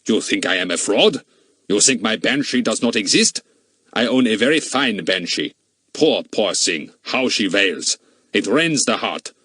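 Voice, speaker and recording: A man voicing a big, burly, solemn Austrian owner in an audiobook, with a very deep voice, an Austrian accent and a slow, theatrical, lamenting way of talking. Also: animated, fairly bright tone, breathy edge, no room sound, full character voice. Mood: indignant, then mournful.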